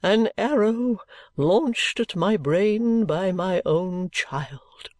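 Pompous elderly dandy for an audiobook, acted by the narrator, florid and drawn-out, self-admiring, very dry close sound. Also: medium pitch, lively intonation, darkish tone, dry recording. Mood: aghast, wounded. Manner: florid, grandiose, drawn-out delivery. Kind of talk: audiobook character voice.

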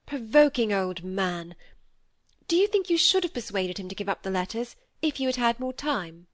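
A woman's voice: a little high, expressive, normal speed, no room sound, clean studio sound, close to an everyday voice. A woman voicing a nervous, scornful young woman in an audiobook, emphatic and self-pitying. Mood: peevish. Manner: emphatic, agitated. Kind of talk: acted audiobook dialogue.

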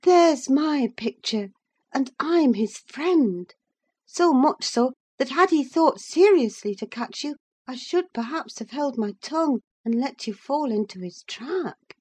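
Woman acting imperious young wife, high and scornful. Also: expressive, a little slow, a little mellow. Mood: scornful, emphatic. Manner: declamatory, emphatic, commanding.

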